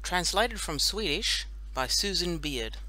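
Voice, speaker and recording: A woman narrating a children's audiobook in an expressive, deliberate storytelling manner. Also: on the low side. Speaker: audiobook reader.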